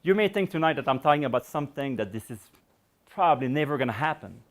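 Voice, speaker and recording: A middle-aged man giving a public science lecture and taking questions, talking in a loose, rambling way with many fillers, his voice going hoarse. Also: mid-range voice, animated, fast. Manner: rambling, many "you know" fillers and restarts.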